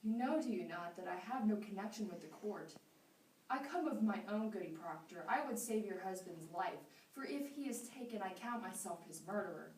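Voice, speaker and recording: A woman's voice: mid-range voice, animated, moderate pace, darkish tone, slight background noise, close to an everyday voice. Echoing and a little far from the mic, a woman plays a guilt-ridden Puritan minister. The delivery is earnest, pleading and preachy, in amateur drama style. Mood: grave, pleading. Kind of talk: amateur stage-play acting. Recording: echoey room, distant mic.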